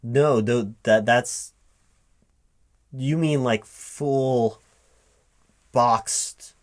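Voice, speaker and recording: Slow-talking man playing a puzzled interviewer. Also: mid-range voice. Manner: halting, puzzled, repeats and checks what was heard. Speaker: roleplay performer as recruiting manager interviewer. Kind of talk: one-sided job interview ASMR roleplay, spoken aloud. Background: computer keyboard typing.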